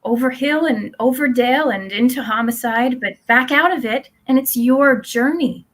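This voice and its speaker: A woman's voice: high-pitched, moderate pace, a bit bright. A woman playing a blithely supportive middle-aged mother in a live play reading, placid and reassuring.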